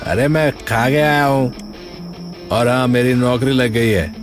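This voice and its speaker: A man playing an impatient, callous young village son in an animated story, brusque and dismissive. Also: mid-range voice, measured pace, natural voice. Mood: irritated, dismissive. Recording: music under the voice.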